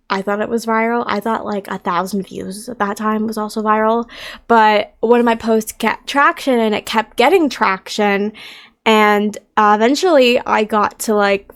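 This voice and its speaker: A woman in her twenties, chatting casually to camera in a rambling, self-deprecating way with lots of fillers. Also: a little high. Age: 20s. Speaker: streamer and content creator.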